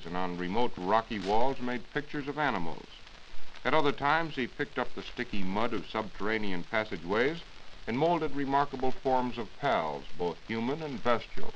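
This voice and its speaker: A man's voice: medium pitch, lively intonation, moderate pace, fairly bright tone. A man giving a scripted 1940s radio science talk as a reporter, formal and explanatory. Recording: muffled, hissy 1940s radio recording.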